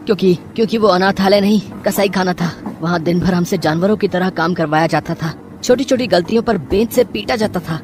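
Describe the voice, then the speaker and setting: A woman's voice: on the low side, a little fast, hardly any pauses, a little mellow, a little hiss or hum, close to an everyday voice. A woman voicing an earnest twelve-year-old orphan boy, tender and determined, in a dramatized moral story. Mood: downcast.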